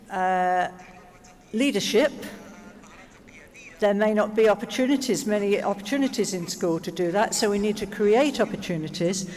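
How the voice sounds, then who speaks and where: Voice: mid-range voice, darkish tone. A conference speaker answering audience questions in a reflective, explanatory way, with rhetorical questions and check-ins. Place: large conference hall. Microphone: stage microphone. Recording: reverberant hall over PA microphone.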